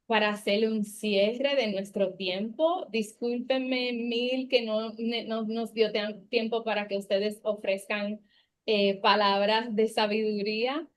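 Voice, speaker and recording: A woman moderating a panel, speaking in a soft, thoughtful way. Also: a little high, steady tone, takes it slowly.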